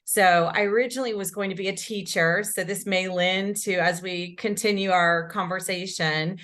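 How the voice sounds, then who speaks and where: A woman's voice: fairly low pitch, steady tone, normal speed, fairly bright tone. A woman from Houston speaking as an interview guest, upbeat and conversational, often repeating her phrases. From Houston, Texas. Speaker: guest, company director.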